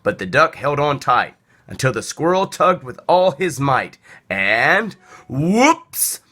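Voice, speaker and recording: A man narrates a children's picture book with a bright, lively voice. He reads slowly and deliberately, with theatrical swings of emphasis for young listeners. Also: mid-range voice. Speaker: storybook reader. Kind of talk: expressive children's read-aloud narration.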